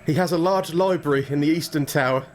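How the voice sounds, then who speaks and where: A man plays a haunted young husband in a gothic radio play. His voice sits a little high, with an earnest, troubled, formal delivery. Faint noise runs behind it. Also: quick pace, lightly acted. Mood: determined. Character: anxious, haunted young English gentleman husband. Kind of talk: gothic audio drama acting and first-person narration.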